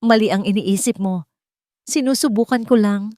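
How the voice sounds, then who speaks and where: A woman's voice: mid-range voice, moderate pace, warm tone, no room sound, clean studio sound, pitched above the performer's own voice, lightly acted. A woman voicing a haughty, scheming older mother-in-law who turns indignant and stammers when cornered in an audio drama. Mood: pleading, stammering.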